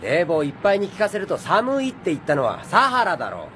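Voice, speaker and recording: Young anime teacher, brusque, easygoing, animated pitch. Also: male voice, fairly quick, a little hiss or hum, heavily acted. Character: easygoing male biology teacher, young adult.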